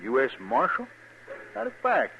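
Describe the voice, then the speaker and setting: Businesslike frontier storekeeper, muffled old radio. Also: male voice, mid-range voice, huge pitch swings, normal speed, creaky, bright, forceful tone, full character voice. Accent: American. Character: brisk, plain-spoken frontier army storekeeper. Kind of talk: 1950s western radio acting. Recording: muffled, hissy vintage radio recording.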